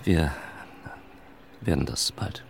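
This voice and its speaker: An actor playing a polite, officious and hesitant organizer in a radio play, talking in a proper, wordy way. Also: male voice, a bass voice, lively intonation, slow pace, gravelly, slight background noise, heavily acted. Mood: hesitant, formal.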